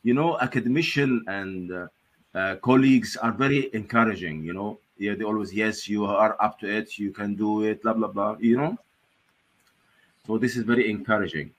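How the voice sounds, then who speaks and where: A man's voice: lowish voice, moderate pace. A man chairing an academic conference session on a video call, chatting casually with many fillers and restarts.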